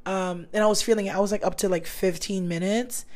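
A woman hosts her own podcast in a relaxed, slangy chat aimed straight at her listeners. Her voice is a touch raspy and hoarse, still recovering. It sits in an ordinary middle pitch. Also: brisk. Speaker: podcast host. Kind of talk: casual solo podcast chat.

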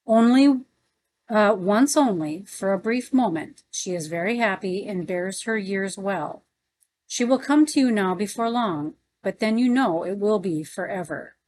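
A woman's voice: mid-range voice, animated, unhurried, darkish tone, poor audio. A woman reading the part of an affectionate, enthusiastic aunt in a storybook. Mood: reassuring. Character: affectionate, enthusiastic aunt narrating a heavenly visit. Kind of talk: storybook read-aloud.

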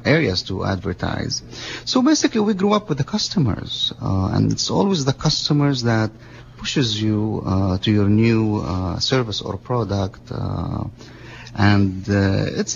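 A man from Lebanon talks as a radio guest in a gravelly, fairly low voice, wandering through his answers with plenty of fillers. The sound is a bit muffled, with a faint hiss behind him. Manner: chatty, rambling, with frequent fillers. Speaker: guest, business owner. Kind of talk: casual radio interview. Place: radio studio.

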